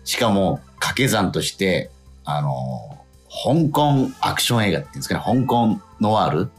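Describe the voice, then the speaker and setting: A man's voice: sits low, big pitch swings, moderate pace. A man co-hosting a radio show, chatting casually and reading listener mail, with hesitant phrasing that often backtracks. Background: background music.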